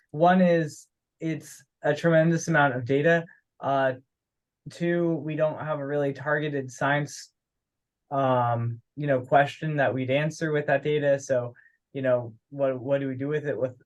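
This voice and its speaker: A man talking casually and haltingly in a teleconference, thinking aloud as he discusses things. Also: medium pitch, steady tone. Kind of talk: casual conference call discussion. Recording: dull, lo-fi teleconference audio.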